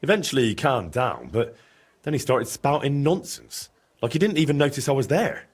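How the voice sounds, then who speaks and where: An actor playing an earnest young medieval adventurer in a video game, direct and questioning, with a slightly husky voice. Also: male voice, medium pitch, very animated, normal speed. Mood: recounting, uneasy. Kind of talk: video game dramatic dialogue.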